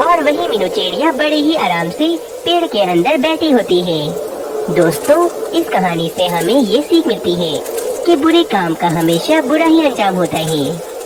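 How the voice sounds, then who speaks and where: A woman's voice: a little high, distant mic, very noisy. A woman narrating a scripted moral story for children.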